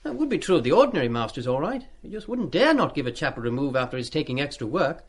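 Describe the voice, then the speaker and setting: Radio play schoolboy, mid-teens, British: lightish high voice, very lively intonation, eager, polite, indiscreet. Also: male voice, quick pace. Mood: indignant, confiding. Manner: chatty, deferential with schoolboy slang.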